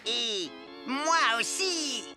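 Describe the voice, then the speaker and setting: Cartoon casting: earnest young boy sidekick, high voice, music underneath. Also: lively intonation, very slow. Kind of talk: animated children's cartoon dub.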